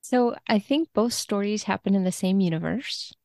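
A woman's voice: mid-range voice, lively intonation, moderate pace, mellow, dry recording, studio quality. A woman speaking as a translator guest on a podcast, discussing a book in an informal, conversational way.

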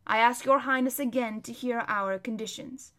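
A dignified older king from a storybook, played by a woman reader. He chides like a firm father in stately, courtly phrases, with a bright, forceful edge. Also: a little high, steady tone, moderate pace, close to an everyday voice. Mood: chiding, stately. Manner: stately, old-fashioned courtly speech. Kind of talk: storybook read-aloud.

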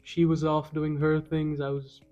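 A man's voice: on the high side, completely flat delivery, unhurried, warm tone, highs cut off, faint music behind. Joking young man from Kuwait. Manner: joking, playful storyteller. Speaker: podcast guest. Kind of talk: casual podcast chat.

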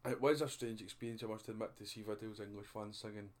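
A man's voice: fairly low pitch, steady tone, fast talker, darkish tone. Scottish man hosting a chat podcast. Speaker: podcast host. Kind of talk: casual chat.

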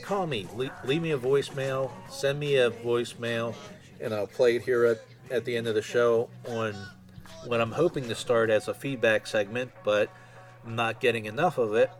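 A man's voice: mid-range voice, steady tone, moderate pace, faint music behind. A man hosting a fan podcast solo, chatting in an informal, conversational way. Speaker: podcast host.

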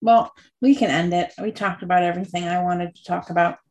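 A woman co-hosting a podcast in casual chat, relaxed, with short replies. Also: fairly low pitch, brisk. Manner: relaxed, brief replies, wraps up the show. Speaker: podcast co-host.

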